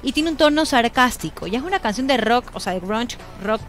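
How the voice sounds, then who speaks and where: A woman's voice: a little high, lively intonation, brisk. A woman in her forties with an Ecuadorian accent, hosting a casual radio chat on a close studio microphone. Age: 40s. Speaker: radio host. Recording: faint music behind the voice. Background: faint music.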